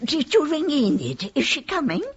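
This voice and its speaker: A woman's voice: high voice, huge pitch swings, normal speed. An actress playing a genteel, girlish, effusive woman of eighty-five with a British accent in a radio play. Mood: giddy, happy. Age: 80s. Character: frail, genteel, giddy eighty-five-year-old widowed lady. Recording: dull lo-fi radio recording.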